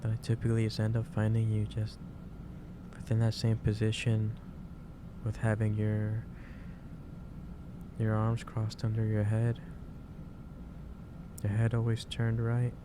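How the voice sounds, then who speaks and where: A man playing a gentle, caring office boss in an ASMR roleplay, speaking in a hesitant, reassuring way. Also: on the low side, completely flat delivery, unhurried, long pauses, lightly acted. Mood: fond, concerned. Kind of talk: ASMR roleplay, soft improvised monologue. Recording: clear background noise, ambience.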